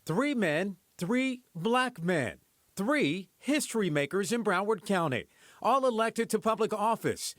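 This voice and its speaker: An American man narrates a scripted news story. His pitch is high for a man. The sound is very dry, with no room around it. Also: fairly bright tone, no room sound. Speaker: TV news reporter.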